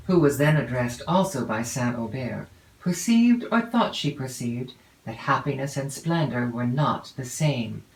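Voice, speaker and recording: Female audiobook narrator for classic fiction: formal, measured, deliberate phrasing, recorded in a reverberant room. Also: a bass voice, darkish tone. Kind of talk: scripted literary narration of a classic novel. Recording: roomy, echoey home recording.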